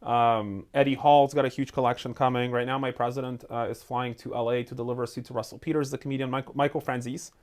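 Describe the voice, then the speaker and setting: A man in his forties, from Ukraine with Russian as his first language, telling his story as a guest in a casual interview and acting out quoted lines. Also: medium pitch. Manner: fast, animated, acts out quotes. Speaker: guest, entrepreneur.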